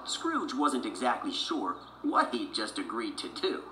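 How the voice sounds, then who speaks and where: Animated male storybook narrator. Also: mid-range voice, unhurried, echoey room, noisy background. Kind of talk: scripted children's storybook narration.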